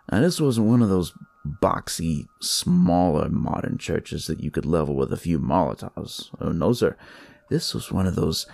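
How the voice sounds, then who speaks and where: A man playing a jaded, crude police detective, narrating his noir story in a wry, world-weary, conversational way with a gravelly, husky voice. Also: sits low, a little mellow, no echo, heavily acted. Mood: wry, grim. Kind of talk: noir first-person scripted narration.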